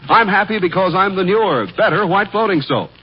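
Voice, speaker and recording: A man's voice: high-pitched, lively intonation, brisk, slightly rough. A man with an American accent working as announcer and sidekick, in scripted vintage radio comedy and advertising. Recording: dull, slightly noisy 1940s radio recording.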